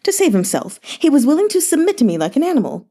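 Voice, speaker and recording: A woman voicing a fat, cowardly, debauched old king who blusters and shouts in panic. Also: sits high, big pitch swings, fast talker, pitched above the performer's own voice. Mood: boastful.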